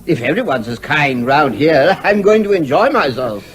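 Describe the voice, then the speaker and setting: An actor playing an ingratiating English newcomer and shameless borrower in a radio comedy, effusively polite and hesitant. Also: male voice, a little high, lively intonation, normal speed, close to an everyday voice. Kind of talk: radio comedy drama acting.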